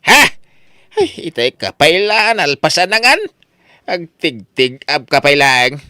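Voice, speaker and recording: A man's voice: fairly high pitch, big pitch swings, slow pace, bright, forceful tone, smooth, no room sound, clean studio sound, full character voice. An actor playing an exasperated middle-aged father who nags and scolds in an Ilocano comedy radio drama. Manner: scolding, exclamatory. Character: exasperated, nagging father scolding his idle son.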